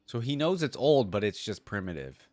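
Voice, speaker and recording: Low-voiced man giving casual reactions. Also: big pitch swings, moderate pace, darkish tone. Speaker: reaction video host.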